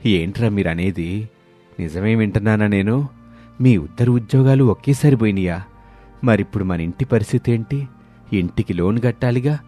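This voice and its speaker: A man voicing an expressive village father in his sixties in a story. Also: lowish voice, normal speed, soft background music, close to an everyday voice. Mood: shocked, worried. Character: worried sixty-year-old village father, plain-spoken and expressive.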